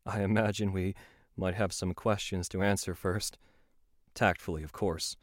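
A man's voice: sits low, steady tone, moderate pace, dry recording, studio quality, lightly acted. An actor playing a weary, remorseful father in an audio drama, speaking in a hesitant, subdued way. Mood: relieved. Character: weary, remorseful troubled father, pleading and apologetic.

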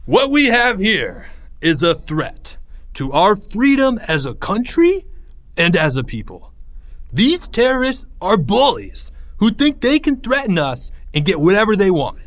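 A man voicing a pompous, defiant schoolboy in an audiobook, declaiming forcefully like a little orator. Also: high voice, huge pitch swings, unhurried, pitched above the performer's own voice, full character voice. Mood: rousing, bombastic. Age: child. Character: bombastic schoolboy making defiant, pompous speeches. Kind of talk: audiobook character voice.

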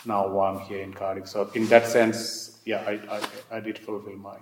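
An echoey room carries the low voice of a man from Sri Lanka, speaking with a Sri Lankan accent. He is an interviewee in an oral history, recalling his life and often circling back over his own phrases. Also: flat delivery, moderate pace, background noise.

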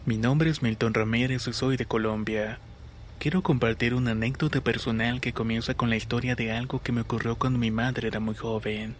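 A man narrating a scripted scary story in a measured, serious reading. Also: medium pitch, steady tone, a little hiss or hum. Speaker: narrator of listener-submitted horror stories.

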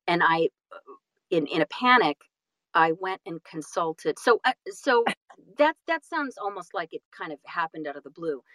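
Female interview guest, slightly low-pitched, self-deprecating storyteller, casual and emphatic, very dry close sound. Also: fairly bright tone, dry recording, poor audio. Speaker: guest, audiobook narrator and actress.

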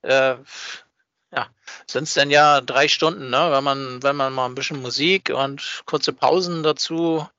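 A man's voice: mid-range voice, steady tone, unhurried, bright, forceful tone, dry recording. A man co-hosting a fan podcast, chatting casually and searching for words, often checking for agreement. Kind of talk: casual chat about a hobby production. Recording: slightly dull, dry close recording.

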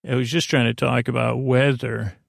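A man with a mid-pitched, gravelly creak talks in a dry, echo-free recording. He rambles as a bedtime podcast host would, hesitating, drifting off course and circling back to where he was. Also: little pitch movement, moderate pace. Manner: meandering, hesitant, keeps losing his thread and doubling back.

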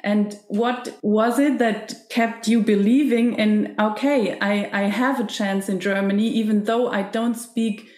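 A woman's voice: mid-range voice, fairly even intonation, unhurried, hardly any pauses, a little mellow. A woman interviewing an actor, affirming and laughing lightly as she talks about casting. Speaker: interviewer, former casting director. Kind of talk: conversational interview. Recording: roomy echo.

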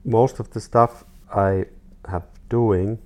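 A 42-year-old man from Finland with a Finnish accent, talking casually and haltingly as an interview guest. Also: on the low side, fairly even intonation. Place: artist's studio.

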